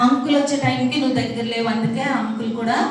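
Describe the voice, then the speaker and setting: A woman with a high voice hosts a children's puppet show, teacherly and full of questions. She repeats words for the children, heard at a distance in a very echoey, noisy hall. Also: fairly even intonation, rapid-fire. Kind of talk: animated storytelling for children. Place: echoey church hall, far from mic.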